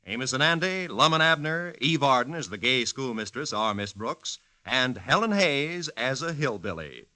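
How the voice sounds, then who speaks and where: A man's voice: medium pitch, animated, moderate pace, pushed and bright. A man working as a 1940s network radio announcer, reading a scripted promotion.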